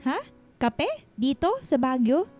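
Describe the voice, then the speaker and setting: A fairly high-voiced Filipino woman in a radio romance. She is a guarded single mother, chatty and teasing yet unsure of herself, with soft music behind her. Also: big pitch swings, slow, heavily acted. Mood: teasing, evasive. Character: guarded, self-conscious single mother, playful with her old flame. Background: background music.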